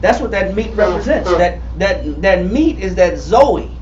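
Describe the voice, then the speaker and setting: A man in his forties teaching a Bible study, emphatic and repetitive, calling on readers and building to shouts. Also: high-pitched, expressive, a little slow, slight background noise. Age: 40s. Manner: emphatic, repetitive, calls for readings, rising to shouts. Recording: far-off echoey room, muffled and lo-fi.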